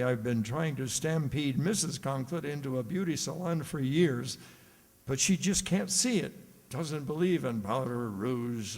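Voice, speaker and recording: Heard with a long hall reverb, an actor plays a middle-aged male school principal in a radio comedy. His voice sits a little high. He is pompous and formal, hemming and hawing as he goes. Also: a little mellow. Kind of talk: amateur stage-style old radio comedy acting.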